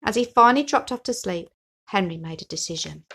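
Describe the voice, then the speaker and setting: A woman's voice: medium pitch, expressive, fairly quick, fairly bright tone, breathy edge. Woman reading a children's storybook. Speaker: story reader. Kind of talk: expressive children's storybook narration.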